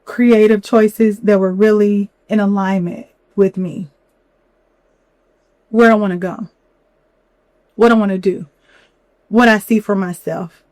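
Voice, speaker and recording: Slightly hoarse young woman chatting candidly. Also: mid-range voice, steady tone, takes it slowly, long pauses, a little mellow, no room sound. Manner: candid, chatty, many fillers and "you know". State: a little hoarse from a cold. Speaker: podcast host. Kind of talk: reflective solo podcast talk.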